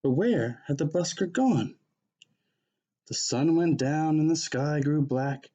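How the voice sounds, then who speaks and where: A man's voice: on the high side, takes it slowly, mellow, muffled recording. A man reading a rhyming picture book aloud as a storyteller for children.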